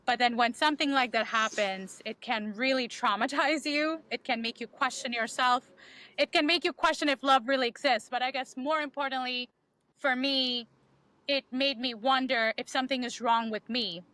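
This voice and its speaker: A woman's voice: high voice, fairly even intonation, normal speed, pushed and bright, no room sound, rough recording. A woman, a podcast guest candidly telling her life story in a reflective way with many ums. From Bangladesh. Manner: reflective, frequent um fillers.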